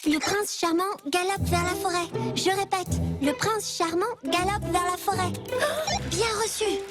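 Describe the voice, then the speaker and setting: A cartoon girl of ten, very high voice, playful spy talk, music underneath. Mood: secretive. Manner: playful spy-radio talk, quick and lively. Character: girl of about ten, bright and scheming. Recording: cartoon dub with music behind.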